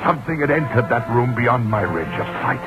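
An actor playing a haunted, frightened novelist who tells his tale deliberately in an old-time radio drama. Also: medium pitch, sing-song, close to an everyday voice. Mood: horrified, dramatic. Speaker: actor and narrator. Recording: muffled old radio recording, music behind.

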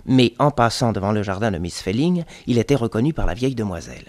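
A man narrating a French radio detective drama in measured storytelling. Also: lowish voice, expressive, darkish tone, no echo, close to an everyday voice.

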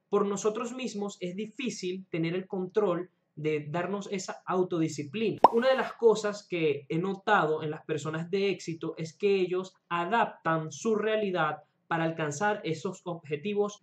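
Young man giving earnest motivational advice. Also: high voice. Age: 20s. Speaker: YouTube host giving business and self-improvement advice. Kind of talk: direct-to-camera motivational advice talk. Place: small home room, indoors.

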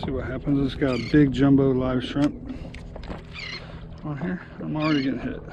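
A man's voice: mid-range voice, fairly even intonation, moderate pace, highs cut off. A man in his forties hosting a fishing vlog from a small open boat offshore, chatting easygoing to the viewers and to the fish he lets go. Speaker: fishing channel host. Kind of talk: casual fishing vlog narration. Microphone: action camera on the boat. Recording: action camera with wind and water noise. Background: wind and water noise.

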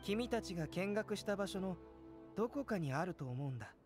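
Young scholar for fantasy game. High male voice, composed, polite, analytical, faint music behind. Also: lively intonation, fairly quick. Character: young male alchemist, calm, curious, detached scholar. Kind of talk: anime video game acting.

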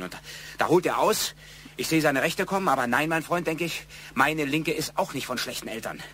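An actor playing an evasive, defensive man under questioning in a German radio crime drama, speaking haltingly. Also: medium pitch, pushed and bright, a little hiss or hum.

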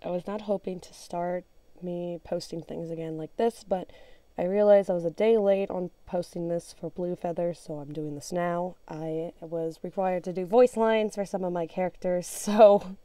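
A woman's voice: lowish voice, steady tone, normal speed, mellow, no room sound. A tired-sounding woman chatting casually to viewers in an apologetic, self-deprecating way. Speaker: amateur voice actor posting character voice lines.

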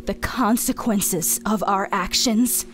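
A woman voicing a grim, world-weary anime survivor from the future, solemn and deliberate. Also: mid-range voice, close to an everyday voice. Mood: grim warning. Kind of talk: animated visual novel acting. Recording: music behind the voice.

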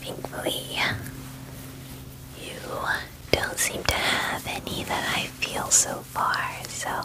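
A woman in her twenties whispering softly and soothingly in an ASMR personal-attention roleplay, murmuring reassurances close to the mic. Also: takes it slowly, poor audio. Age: 20s. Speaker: ASMR creator playing a spa groomer. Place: small dim room indoors.

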